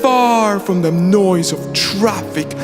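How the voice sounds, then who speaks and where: A man's voice: high voice, slow, over music. A man playing an exuberant, boastful father bear who declaims grandly and emphatically in a children's audio play. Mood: dreamy, enthusiastic.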